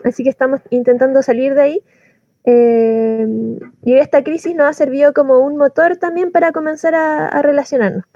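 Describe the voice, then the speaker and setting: A Chilean woman with a high voice talks informally as a community organizer, feeling her way through her sentences and repeating words. The recording is dry and a little dull. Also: fairly even intonation, moderate pace, warm tone, no echo. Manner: hesitant, repeats words while explaining. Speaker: community soup kitchen organizer. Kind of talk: informal spoken testimony.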